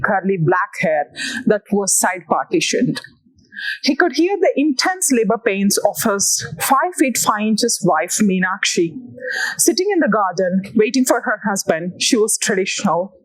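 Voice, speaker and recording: A woman with an Indian accent reads her own novel aloud as scripted narration, setting out each phrase deliberately. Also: medium pitch, poor audio. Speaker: author reading own novel.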